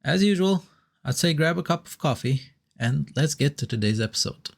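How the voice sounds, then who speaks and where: A man's voice: fairly high pitch, lively intonation, moderate pace, creaky. A man hosting a podcast, delivering an upbeat scripted intro with rhetorical questions. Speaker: podcast host.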